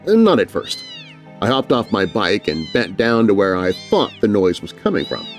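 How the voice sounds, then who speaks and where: Male narrator for kids' spooky fiction: confiding, chatty, highly expressive, light music underneath. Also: normal speed. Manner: conversational, confiding, playing a young storyteller. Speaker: audiobook narrator. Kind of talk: animated first-person children's story narration.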